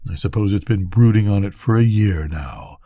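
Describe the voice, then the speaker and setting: A man's voice: fairly low pitch, moderate pace, mellow, no echo, pitched below the performer's own voice. A man voicing a weary, brooding lighthouse keeper in a storybook, musing in a subdued, wistful way.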